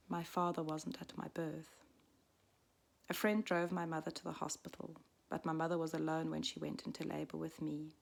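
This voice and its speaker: Woman in her 40s telling a personal story, low husky voice, lots of fry, quiet and reflective. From Switzerland. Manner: soft, slow, reflective, often half-whispered. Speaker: midwife and storyteller. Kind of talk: personal story read aloud.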